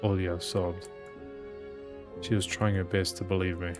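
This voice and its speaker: Man, low voice, gaming host, read-aloud narration of a visual novel, music in background. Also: level tone, unhurried. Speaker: gaming channel host reading game aloud.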